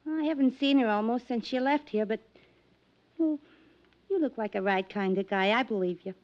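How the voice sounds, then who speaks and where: Streetwise American showgirl played by a woman, high-pitched, brassy and guarded, 1950s radio drama on a dull old recording. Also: normal speed, close to an everyday voice. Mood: confiding. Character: tough, wary, streetwise showgirl, loyal to her friend.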